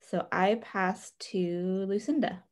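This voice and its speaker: An American woman sharing personal news on a group video call in a gentle, expressive, reflective way. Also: medium pitch, takes it slowly. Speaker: participant in an online women's group. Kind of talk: personal check-in sharing, conversational. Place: indoor room at home, on a video call. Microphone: computer mic on video call.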